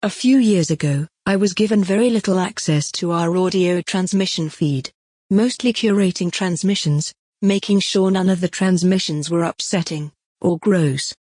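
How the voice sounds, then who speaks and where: A woman's voice: on the low side, normal speed, a little mellow, close to an everyday voice. A woman playing a self-promoting, cheerfully smug AI who presents her own podcast in a scripted comedic monologue. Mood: proud, excited. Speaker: podcast creator and host.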